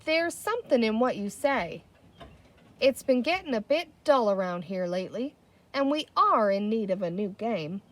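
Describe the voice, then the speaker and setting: A woman voicing a pompous, self-important little green male chief in a storybook, with a grandiose, deliberate manner. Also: fairly high pitch, big pitch swings. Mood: thoughtful.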